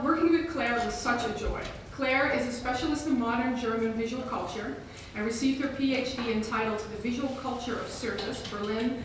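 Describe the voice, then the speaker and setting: A woman introduces a lecturer in a museum hall, her voice set a little high. She is heard from well away from the mic, with a strong echo off the room. A steady hiss of noise sits behind her. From Netherlands. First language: Dutch. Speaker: curator introducing a speaker. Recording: very echoey hall, voice from far off, audible noise.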